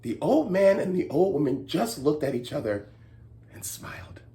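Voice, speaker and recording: This is a storytime host speaking to young children. The delivery is highly animated, with big pitch movement. It moves between expressive storybook narration and instructive chat, often seeking agreement from the listeners. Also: moderate pace.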